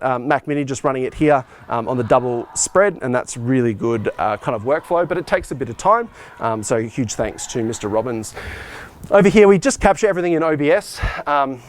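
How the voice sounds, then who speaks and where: Man giving an informal technical walk-through. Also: medium pitch. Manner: casual, unscripted, practical explaining. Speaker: school arts and IT department head giving a behind-the-scenes tour. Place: on the move through a school tech room and performance venue.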